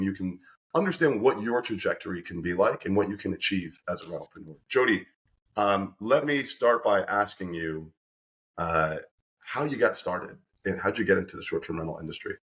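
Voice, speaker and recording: Effusive low-voiced male host. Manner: energetic, restarts often, effusive. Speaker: podcast host and company representative. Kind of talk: enthusiastic interview hosting.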